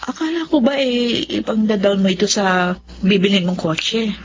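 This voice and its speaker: A woman's voice: medium pitch, moderate pace, breathy edge, dull audio. A woman with a Filipino accent playing an apologetic, anguished young wife in a radio drama. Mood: concerned, practical.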